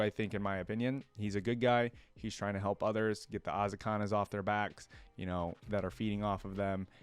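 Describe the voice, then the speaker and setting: A man's voice: on the low side, clean tone, no room sound. A man hosting a reaction video, chatting informally and enthusiastically as he thinks aloud. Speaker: reaction channel host. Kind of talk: casual chat to camera, rambling commentary.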